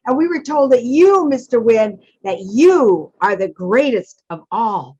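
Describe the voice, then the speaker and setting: Woman voicing an eager, high-pitched storybook father mouse. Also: animated, a little slow, darkish tone, breathy edge, highs cut off. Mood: eager, pleading. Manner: effusive, pleading, polite address with repeated names. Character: earnest, hopeful old-fashioned father mouse, proud of his daughter.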